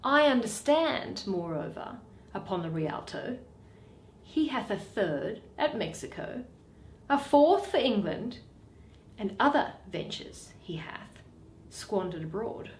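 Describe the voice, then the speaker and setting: A woman's voice: mid-range voice, sing-song, takes it slowly. A woman voicing a shrewd, bitter male moneylender in a Shakespeare play, drawing out and weighing each phrase. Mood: musing, sly. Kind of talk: dramatic Shakespeare reading. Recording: roomy echo.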